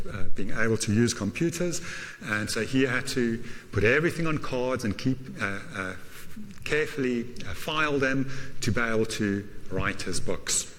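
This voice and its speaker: A man's voice: medium pitch, fairly bright tone, slight background noise. German-born man lecturing in a reverberant hall. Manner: halting, many uh fillers, self-deprecating asides. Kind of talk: university lecture.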